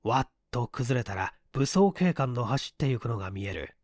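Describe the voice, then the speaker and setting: A man reading a mystery story as scripted literary narration, in a measured storytelling manner. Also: mid-range voice, lively intonation, darkish tone, dry recording, studio quality. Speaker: audiobook reader, stage actor.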